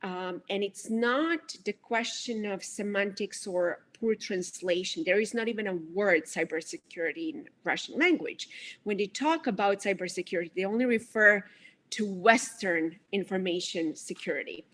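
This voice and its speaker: A woman from Serbia, giving earnest, halting explanations as a policy analyst guest in an interview. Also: mid-range voice, fairly bright tone. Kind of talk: interview answers.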